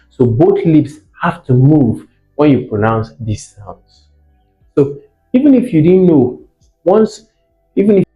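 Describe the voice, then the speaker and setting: A man teaching a lesson, explaining didactically, asking questions and repeating key words for emphasis. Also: mid-range voice. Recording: muffled, echoey room with faint music behind.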